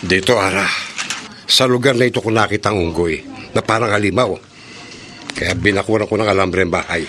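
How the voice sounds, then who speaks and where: A man's voice: fairly low pitch, brisk, natural voice. A man acting a determined, vengeful monster-hunting uncle in a radio horror drama, intense and dramatic. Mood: grim, determined.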